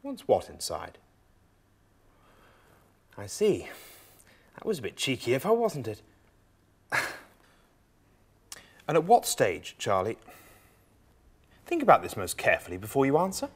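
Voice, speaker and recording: A man acting an arch, mock-delicate interrogator in a comic stage monologue, asking probing, drawn-out questions. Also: on the high side, heavily acted. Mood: mock-shocked, insinuating.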